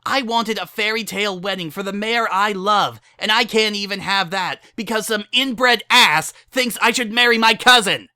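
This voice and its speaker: A woman's voice: medium pitch, moderate pace, hardly any pauses, sharp tone, dry recording, clean studio sound, voice pushed up, natural voice. A woman voicing an angry, heartbroken young female pony in a dramatic story reading, impassioned and pleading. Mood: shouting, distraught.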